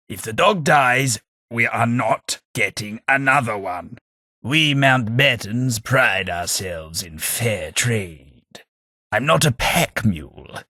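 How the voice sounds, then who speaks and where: Raspy, haughty old British ghoul. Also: male voice, fairly low pitch, pushed and bright, dry recording, studio quality, heavily acted. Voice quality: raspy, gravelly. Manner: pompous, formal, wry. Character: haughty, dry-witted old aristocratic male ghoul. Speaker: video game companion character. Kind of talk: scripted video game voice acting.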